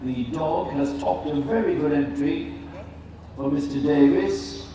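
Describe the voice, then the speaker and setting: Echoey, slow-paced male show announcer. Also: fairly high pitch, mellow. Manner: measured, deliberate, formal announcing. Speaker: dog show announcer and commentator. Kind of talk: live event announcing and breed commentary. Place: large indoor show arena. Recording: echoey PA in noisy crowded hall, distant. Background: crowd noise and hall ambience.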